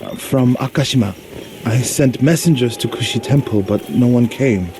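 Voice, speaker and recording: An actor playing a loyal, resolute warrior monk in a game cutscene, speaking earnestly, deliberately and with respect. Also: male voice, medium pitch, background noise, lightly acted. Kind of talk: dramatic game cutscene acting. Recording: loud music over the voice.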